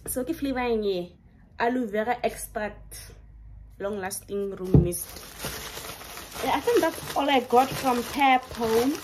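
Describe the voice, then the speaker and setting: Young woman, South African Setswana accent, chatty, bright voice, faint room hiss. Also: a little high, measured pace, poor audio. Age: young adult. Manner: chatty, enthusiastic, code-switching. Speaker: vlogger. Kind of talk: casual haul vlog, mixing English and Setswana. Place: bedroom at home, indoors.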